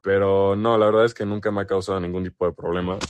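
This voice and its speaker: A man's voice: sits low, little pitch movement, fast talker, lo-fi sound, slight background noise. A young man with a Mexican accent answering casually in an interview as an athlete. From El Salvador. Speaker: basketball player being interviewed.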